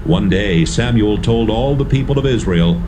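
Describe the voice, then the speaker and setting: A man giving measured, storytelling narration for a dramatised audio Bible. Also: fairly low pitch, animated, background noise.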